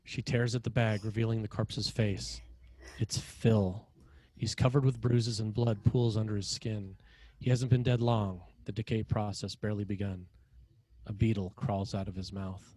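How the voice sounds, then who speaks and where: A man's voice: fairly low pitch, level tone, normal speed, dark tone, no room sound. A man reading screenplay action lines as narrator at a table read. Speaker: screenwriter narrating stage directions.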